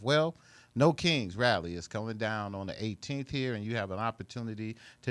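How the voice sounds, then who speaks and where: A man's voice: medium pitch, fairly bright tone, no room sound. A man hosting a casual radio talk show, speaking in an informal, folksy way.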